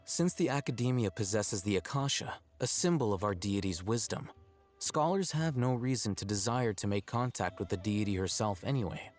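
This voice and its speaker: Man acting aloof young scholar, low voice, deadpan, formal, analytical, video game dialogue, light music behind. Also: normal speed, natural voice. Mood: detached.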